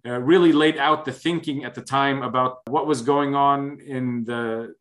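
Man, middle-aged, from the United States, interview guest, halting delivery, many fillers, medium pitch. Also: a bit bright. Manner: hesitant, many filler pauses. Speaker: interview guest, human rights researcher. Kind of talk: conversational interview.

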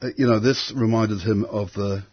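A man in his sixties, raised in England, thoughtfully recalling his past in an interview, with frequent pauses and restarts. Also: lowish voice, steady tone, husky. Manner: hesitant, many restarts and fillers. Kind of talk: reflective conversational storytelling. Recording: dull lo-fi recording.

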